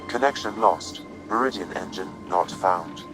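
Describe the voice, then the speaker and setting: Low-voiced, calm AI. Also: male voice, over music, poor audio. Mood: impassive, formal. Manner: measured, formal, impassive. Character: calm, precise male artificial intelligence assistant. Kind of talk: video game cutscene acting.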